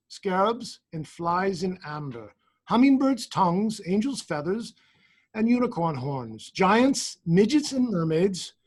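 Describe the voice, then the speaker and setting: Storybook chamberlain, played by an amateur reader: pompous, fussy, husky, deliberate. A big male court official. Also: mid-range voice. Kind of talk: readers theater story acting.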